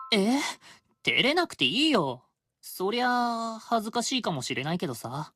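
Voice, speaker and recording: High, lilting, vain male demon. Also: fairly bright tone. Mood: playful, vain. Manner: coy, playful, self-admiring. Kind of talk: Japanese game voice acting, intimate phone monologue.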